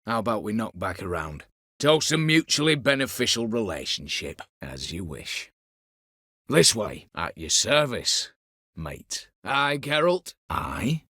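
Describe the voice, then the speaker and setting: A man's voice: on the low side, big pitch swings, a little slow, sharp tone, breathy edge, no room sound, studio quality, heavily acted. An actor voicing a scheming, vengeful disinherited nobleman turned heist leader in a video game, with a colloquial, wry, conspiratorial way of talking. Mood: wry, smooth. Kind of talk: video game character dialogue.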